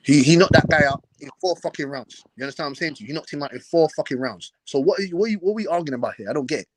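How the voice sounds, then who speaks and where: This man argues at a very quick clip in a somewhat high voice. He is combative and repetitive, breaking in to correct and hammering each point with a pointed, formal politeness. It is an informal, heated debate, recorded dry and without room echo. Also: lively intonation. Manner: combative, repetitive, interrupting, says "sir" pointedly. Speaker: boxing debater.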